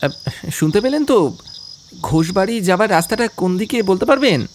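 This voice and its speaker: A man's voice: sits high, lively intonation, slight background noise, pitched above the performer's own voice. A man playing a hesitant, uneasy young man. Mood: louder, unsure. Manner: halting, stammering, polite questions. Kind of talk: audio horror story acting.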